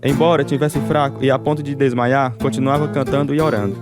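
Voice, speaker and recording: A man's voice: mid-range voice, fairly even intonation, fairly quick, lightly acted. Devout persecuted prisoner played by a man, guitar behind. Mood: grave, suffering. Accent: Brazilian. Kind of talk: dramatized radio testimony narration.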